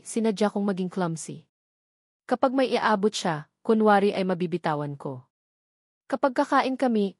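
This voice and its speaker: A woman's voice: mid-range voice, darkish tone, no room sound, studio quality. A woman narrating a scripted first-person love drama as a storyteller.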